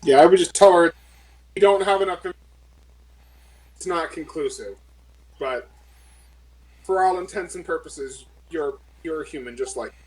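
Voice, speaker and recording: A man's voice: fairly high pitch, moderate pace, long pauses, darkish tone, slightly rough, low-quality recording. A man playing a tabletop game, chatting casually in blunt, informal phrases.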